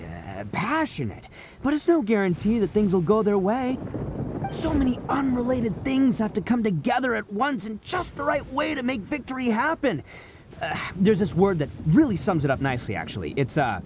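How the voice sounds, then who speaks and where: Brief: cocky oni fighter for a game, boisterous and goofy, huge pitch swings. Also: male voice, slight background noise. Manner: boisterous, laughing, animated. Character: brash boastful oni brawler, loud and goofy. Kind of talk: video game voice acting. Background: game music.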